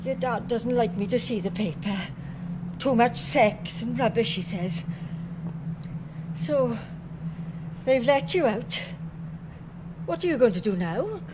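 A timid, unwelcoming British mother in a radio play. The voice is high and wavers up and down over a noisy background. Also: expressive, slow pace, long pauses. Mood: flustered, evasive. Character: flustered, timid, unwelcoming working-class mother. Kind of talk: radio drama acting.

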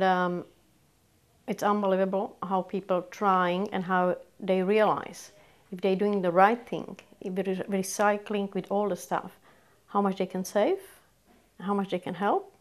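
Czech-accented older woman, earnest volunteer. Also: mid-range voice. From Czech Republic. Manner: earnest, non-native phrasing, keen. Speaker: community volunteer. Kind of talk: sit-down documentary interview. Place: small office with bookshelves.

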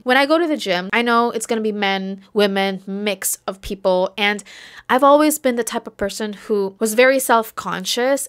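A woman's voice: a little high, a bit bright. A young woman hosting a casual advice vlog, talking candidly and chattily into a close desk microphone indoors. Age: young adult. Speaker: video host giving fitness motivation tips. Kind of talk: casual advice talk to camera. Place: indoor home room set up for filming. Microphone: desk condenser microphone close in front.

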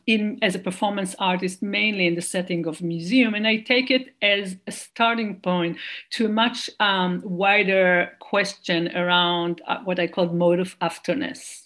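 A woman's voice: fairly low pitch, fairly bright tone. A woman speaking English as a second language with a foreign accent, an artist talking on a video call, hesitant with many fillers and restarts. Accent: non-native English. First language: not English. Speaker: performance artist and lecturer. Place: dark room at home. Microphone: video call laptop mic.

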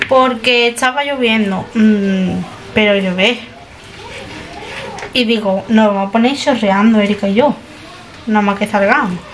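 A woman's voice: on the high side, slight background noise, rough recording. A young woman, chatting to camera in a rambling way as she narrates her chores in a small kitchen. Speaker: family vlogger. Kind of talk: casual vlog chat to camera. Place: small home kitchen indoors.

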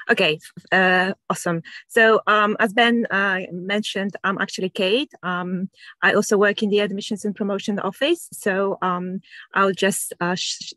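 A woman's voice: mid-range voice, fairly bright tone, no echo. Hesitant woman presenting over video call. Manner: hesitant, many fillers and repeats. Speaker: university admissions officer. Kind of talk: presenting slides.

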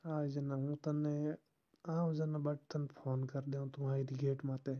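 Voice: mid-range voice, monotone, slow. A caller talking casually and privately on a recorded phone call.

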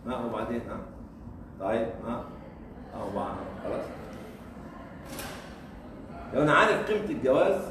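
Egyptian guy lecturing quick, keeps asking rhetorical questions, echoey room, mic far off, faint noise. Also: high voice. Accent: Egyptian Arabic. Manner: rhetorical questions, repeats himself, chuckles. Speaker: guest lecturer. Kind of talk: informal university lecture.

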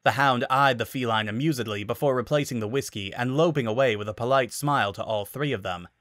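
A man's voice: medium pitch, fast, a bit bright, no echo, studio quality. A man narrating a story as a scripted dramatic reading. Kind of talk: dramatic reading of fan fiction.

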